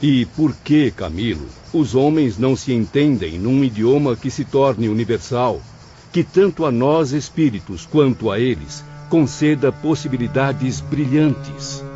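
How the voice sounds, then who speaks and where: An actor playing a grave, doubtful male spirit in an audiobook drama, speaking in measured, formal literary phrases. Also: medium pitch, expressive, warm tone, soft background music, lightly acted. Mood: questioning. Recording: dull audio with faint background noise.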